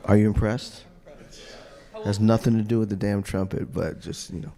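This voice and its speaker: Low, mellow-voiced man joking dryly. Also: fairly even intonation, moderate pace, creaky. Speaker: panelist.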